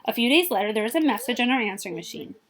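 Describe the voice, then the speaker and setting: A woman reading a children's picture book aloud in an animated way, speaking directly to young listeners. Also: on the high side, rapid-fire. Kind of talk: expressive children's read-aloud with asides to listeners.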